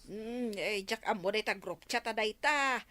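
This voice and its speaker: A woman's voice: medium pitch, normal speed, sharp tone, lightly acted. A woman playing a blunt, thrifty village auntie in an Ilocano radio comedy drama, with an Ilocano accent. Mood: grumbling.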